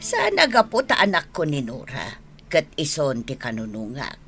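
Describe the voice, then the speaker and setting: Lamenting Ilocano mother, animated pitch. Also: normal speed, faint music behind, exaggerated voice. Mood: plaintive reproach. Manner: plaintive, admonishing. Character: worried, lamenting mother pleading with her wayward grown son. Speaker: radio drama actress. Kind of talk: Philippine radio drama acting.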